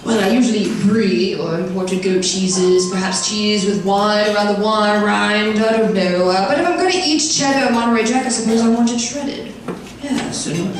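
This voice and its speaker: Brief: a woman playing a precious, snooty older man, slow, echoey far-off room. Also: mid-range voice, slight background noise, close to an everyday voice. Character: pompous fifty-something man fancying himself refined.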